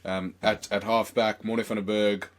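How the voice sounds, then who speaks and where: A young man from South Africa hosting a casual podcast, hoarse from a chest infection, rambling and excitable with many fillers. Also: fairly low pitch, normal speed. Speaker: podcast host.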